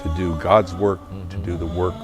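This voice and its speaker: Here is a man with a very deep voice, speaking earnestly and warmly about a colleague. Music plays clearly underneath him. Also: flat delivery, moderate pace. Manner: earnest, heartfelt. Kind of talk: promotional testimonial.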